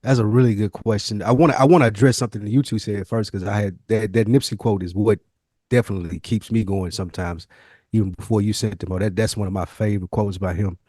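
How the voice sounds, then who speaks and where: A man's voice: on the low side, fairly even intonation, fast, mellow, no echo, clean studio sound. A man co-hosting a podcast, telling stories and reflecting candidly in casual, slangy language with some swearing. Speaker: podcast co-host.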